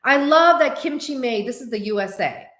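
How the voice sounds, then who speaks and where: A woman in her forties, born to Korean as a first language, hosting a casual livestream chat and answering viewers in an animated way. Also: high voice, normal speed, roomy sound. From United States. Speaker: host.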